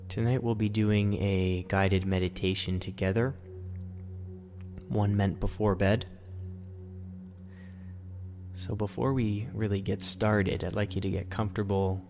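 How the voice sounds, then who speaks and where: A man leading a guided sleep meditation as host, in a soothing, gentle, instructional manner. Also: on the low side, steady tone, measured pace, long pauses, slight background noise.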